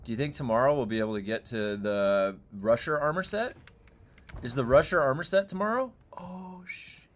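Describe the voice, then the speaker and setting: A man with a loud, booming voice, chatting loosely and profanely on a game live stream from home, with lots of fillers. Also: animated, moderate pace. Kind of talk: casual live-stream chat. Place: home room.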